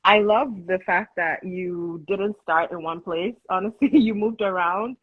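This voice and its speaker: A woman's voice: lowish voice, a little slow, breathy edge, no room sound. A woman hosting a casual interview who encourages her guest and affirms her with short interjections. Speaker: host. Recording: dull dry lo-fi sound.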